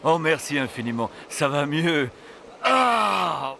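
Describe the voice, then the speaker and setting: A man voicing a weary, helpful winged creature under a spell in an animated cartoon dub. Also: a little high, slow, gravelly, background noise, full character voice. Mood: relieved.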